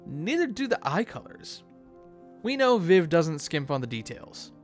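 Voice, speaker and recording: Video commentary host, casual and expressive, swooping intonation, music bed. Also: medium pitch, measured pace.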